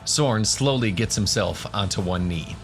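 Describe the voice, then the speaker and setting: A man's voice: fairly low pitch, unhurried, vocal fry. A man giving scripted narration for a fantasy audio drama. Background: music.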